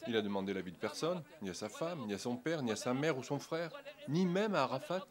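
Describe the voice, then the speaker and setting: A man's voice: mid-range voice, expressive, brisk. A man giving an impassioned, indignant testimony outdoors in a busy street. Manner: indignant, pleading. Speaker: interviewee. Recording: outdoor street recording with crowd. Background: crowd noise.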